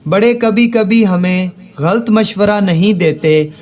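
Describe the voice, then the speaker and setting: Male storyteller for kids' moral tales, high-pitched voice, very unhurried pacing. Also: a little mellow. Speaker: narrator. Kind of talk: scripted children's moral story narration.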